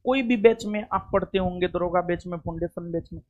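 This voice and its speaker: Guy, coaching teacher, highish voice, rambly chatty class talk. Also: fairly quick, darkish tone, dull audio. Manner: chatty, rambling, repeats "theek hai". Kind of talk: informal classroom talk to students.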